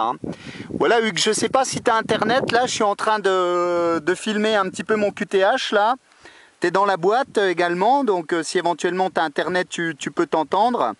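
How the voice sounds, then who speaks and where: A man's voice: high voice, noisy background. A man chatting casually as an amateur radio operator, repeating himself and tagging his phrases. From metropolitan France. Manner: repetitive, punctuates phrases with tag words. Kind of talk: casual radio contact chat. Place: beside his own radio station, filming it.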